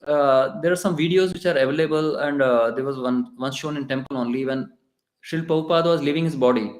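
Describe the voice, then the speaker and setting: A man's voice: on the high side, brisk. Indian man giving an echoey temple talk. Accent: Indian English. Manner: conversational, with hesitations and restarts. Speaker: lecturer at a temple. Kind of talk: devotional lecture. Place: temple hall.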